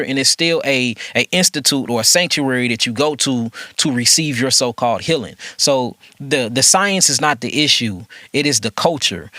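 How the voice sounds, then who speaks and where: Emphatic man with a bright, dry voice. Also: medium pitch, dry recording. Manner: emphatic, preachy, repetitive rhetorical questions. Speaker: holistic health host. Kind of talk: conversational discussion.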